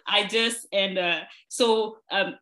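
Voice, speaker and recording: A woman's voice: mid-range voice, fairly even intonation, takes it slowly, pushed and bright. A woman from Cameroon, a nurse practitioner guest, chatting and explaining plainly, laughing readily. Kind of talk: casual interview chat.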